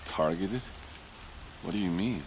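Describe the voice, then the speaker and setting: A man playing a gruff, blustery but loyal guard captain in a video game, talking in a blunt, earthy, informal way. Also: sits low, a little slow, noisy background. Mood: troubled, defensive. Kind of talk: video game dialogue acting.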